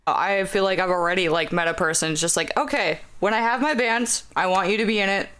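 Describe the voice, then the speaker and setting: A man's voice: high-pitched, brisk, bright, forceful tone, slight background noise. Male podcast guest, rambling casual chat, lots of filler, laughs often. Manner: rambling, full of "like", frequent laughs. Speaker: podcast guest, music fan.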